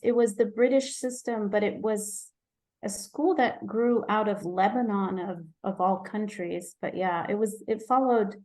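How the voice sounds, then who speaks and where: A woman's voice: medium pitch, unhurried, a little mellow. A woman from Egypt, a physician guest in an interview, talking in a searching way, often correcting herself and laughing lightly. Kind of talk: conversational interview.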